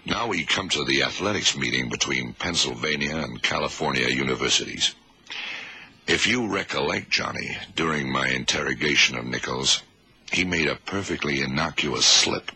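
An actor playing a shrewd detective in a radio drama, deliberate and authoritative as he lays out a case. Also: sharp tone, exaggerated voice. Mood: assured, explaining. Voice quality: very deep, gravelly, husky. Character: shrewd male American blind detective explaining a case. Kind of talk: 1970s radio drama acting.

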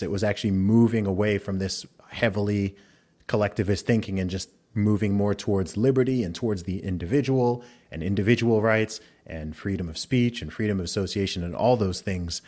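A man who grew up in Saudi Arabia, hosting a podcast interview and talking in a measured, reflective way through long arguments. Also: fairly low pitch, a little mellow. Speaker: podcast host. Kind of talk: conversational interview.

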